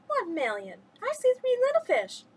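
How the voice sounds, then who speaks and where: A woman reading a storybook aloud, voicing a sharp-tongued young girl who scolds. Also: sits high, huge pitch swings, a little slow, husky, exaggerated voice. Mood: scornful.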